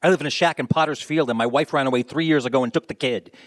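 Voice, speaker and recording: A man's voice: medium pitch, racing, dry recording, close to an everyday voice. A man acting in a radio play as a wary, blunt, working-class taxi driver with folksy speech.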